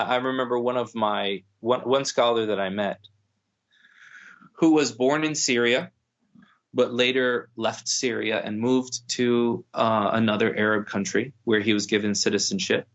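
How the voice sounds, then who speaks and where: Male podcast guest, measured and thoughtful, a little lo-fi. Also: mid-range voice, steady tone. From United States. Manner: thoughtful, many restarts and fillers. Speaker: guest scholar. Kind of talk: podcast conversation.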